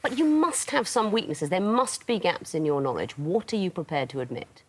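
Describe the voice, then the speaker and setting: A woman's voice: on the low side, expressive, moderate pace. Arch, teasing woman quiz host. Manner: arch, teasing, crisp delivery. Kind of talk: scripted quiz hosting with dry teasing. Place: television studio.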